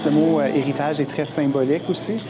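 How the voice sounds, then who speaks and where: A man's voice: a little high, fairly even intonation, quick pace, over loud music. A man with a Franco-Ontarian French accent giving hesitant interview answers with many fillers, outdoors.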